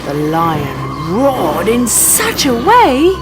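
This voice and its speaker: A woman reading a scripted children's bedtime story as an expressive narrator. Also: medium pitch, extremely slow, loud music behind the voice.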